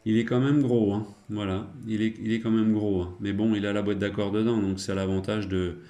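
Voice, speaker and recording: A man's voice: lowish voice, level tone, a little fast, mellow. A man chatting casually as a radio operator, in a rambling, hesitant way. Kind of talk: casual radio contact chat.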